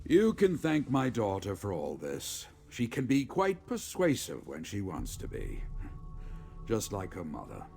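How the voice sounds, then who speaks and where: A man playing a remorseful, resolute middle-aged general in a video game, with a gravelly voice and formal, measured military speech. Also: on the low side, wildly swooping pitch, darkish tone, soft background music, full character voice. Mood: contrite, resolute. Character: remorseful, resolute veteran male general, father of a grown daughter. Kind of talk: scripted video game character dialogue.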